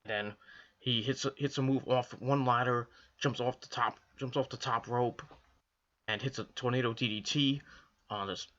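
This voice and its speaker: A hoarse man from the Northeastern US, coming down with a cold, rambling casually through a wrestling review with lots of restarts and fillers. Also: mid-range voice, steady tone, moderate pace, pushed and bright, highs cut off. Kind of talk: casual solo commentary to camera.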